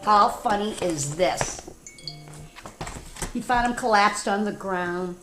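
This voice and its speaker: An American woman tells a children's story aloud at a middling pitch. She is animated and informal, often breaking off for chatty asides spoken straight to her young listeners. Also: slow, music in the background. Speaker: teacher reading stories to children.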